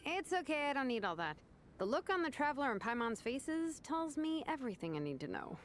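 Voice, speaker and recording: An actress playing a laid-back, dryly sardonic young vice captain in a video game, talking in a casual, wry way. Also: female voice, sits high, big pitch swings, brisk, slight background noise.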